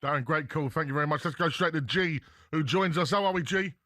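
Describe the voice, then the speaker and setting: A man hosting a radio phone-in, chatty and quick with banter for callers. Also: fairly high pitch, sharp tone, dry recording, clean studio sound. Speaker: radio presenter.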